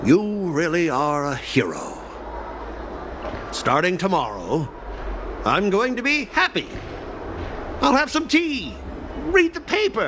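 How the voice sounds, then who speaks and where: An overworked, insecure male office worker from a video game. His voice is high and swings widely, with slow, hesitant, emotional delivery. Clear ambient noise sits behind him. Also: exaggerated voice. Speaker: game character.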